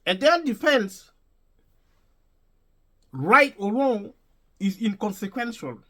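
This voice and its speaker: A man's voice: sits high, fairly bright tone. A man vlogging an unscripted opinion monologue to his viewers, emphatic and prone to restating and rephrasing his points. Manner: emphatic, addresses viewers directly.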